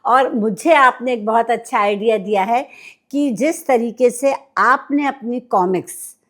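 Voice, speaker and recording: A woman with an Indian accent hosts an interview podcast conversationally, speaking to her guest and to listeners. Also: on the high side. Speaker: podcast host.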